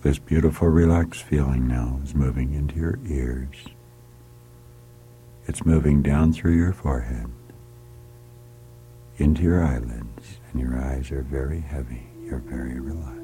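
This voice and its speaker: A man leading a guided hypnotic relaxation in a soft, soothing voice that often drops to a whisper, repeating calm commands. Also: very deep voice, slight background noise. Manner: slow, soothing, repetitive commands. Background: music.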